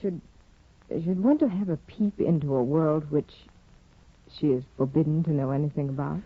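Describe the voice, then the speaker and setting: An actress playing a proud, discontented young aristocratic wife in a poised, theatrical 1950s radio drama manner. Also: female voice, deep voice, normal speed, slightly rough. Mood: wistful, intimate. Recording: muffled, phone-like old radio broadcast, roomy.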